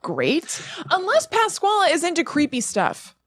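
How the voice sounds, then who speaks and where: A woman's voice: very high-pitched, huge pitch swings, measured pace. A woman co-hosting a podcast in a casual, chatty and emphatic conversational way. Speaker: podcast co-host. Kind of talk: casual chat about spooky legends.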